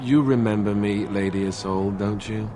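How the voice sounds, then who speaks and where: Earnest, low-voiced warrior, played by a man. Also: fairly even intonation, moderate pace, warm tone, a little hiss or hum, lightly acted. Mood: wry. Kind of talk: dramatic video game acting.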